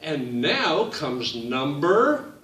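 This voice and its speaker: A man reading his picture book aloud to children, in a slow, sing-song storytime voice that speaks to them directly. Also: a little high, sing-song, pushed and bright, roomy sound, recorded from a distance, a little hiss or hum.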